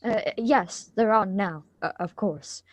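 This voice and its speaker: A woman's voice: medium pitch, takes it slowly, no echo. A woman playing a polite, evasive, bookish schoolgirl in a live radio drama reading, with a hesitant, stammering delivery.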